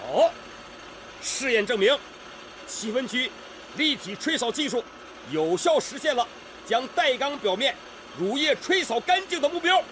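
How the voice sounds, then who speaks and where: An actor playing an authoritative, emphatic steel-factory director in a Chinese radio drama. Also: male voice, very high voice, lively intonation, a little slow, pauses often, a bit bright, slight background noise, full character voice. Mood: proclaiming.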